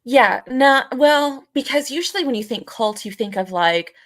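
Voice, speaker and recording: A woman's voice: high voice, expressive, moderate pace, a bit bright. A woman co-hosting a live podcast, chatting informally and hesitantly as she searches for her words. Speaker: podcast co-host. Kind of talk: casual live podcast chat.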